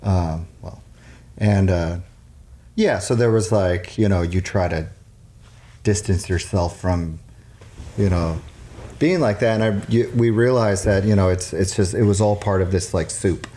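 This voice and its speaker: An American man from Nevada in a casual, reflective chat, thinking aloud in a halting way. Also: low voice, dark tone. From Reno area, Nevada, United States. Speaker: musician guest.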